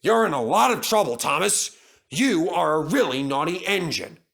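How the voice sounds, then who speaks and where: A man's voice: a little high, lively intonation, normal speed, creaky, fairly bright tone, breathy edge, pitched above the performer's own voice, full character voice. A man voicing a stern, pompous older male railway controller in a children's storybook, speaking formally. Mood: stern reprimand.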